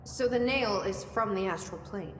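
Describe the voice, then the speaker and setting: An actress playing a determined, guarded video game heroine who speaks in short, deadpan lines. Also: female voice, mid-range voice, normal speed, lightly acted. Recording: echoey hall with faint noise.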